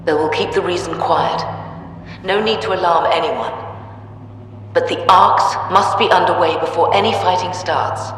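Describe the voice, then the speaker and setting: A woman playing a resolute, secretive visionary leader in a video game, deliberate and authoritative. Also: on the low side, fairly even intonation, a bit bright, lightly acted. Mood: grave, resolute. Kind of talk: video game dramatic acting. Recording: echoey hall, distant, faint hum.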